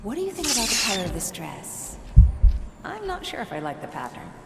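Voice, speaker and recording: An actress playing a loving wife in a dreamlike video game memory, speaking softly and tenderly. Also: female voice, mid-range voice, wildly swooping pitch, brisk, gravelly, very noisy. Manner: soft, tender. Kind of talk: video game cutscene acting. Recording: echoey hall with loud music.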